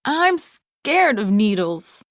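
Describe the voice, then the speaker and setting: A woman's voice: medium pitch, big pitch swings, very slow, no echo, clean studio sound, voice pushed up. Woman voicing a nervous, blustering boyish toy ball. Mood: scared. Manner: hesitant, protesting, putting on bravado.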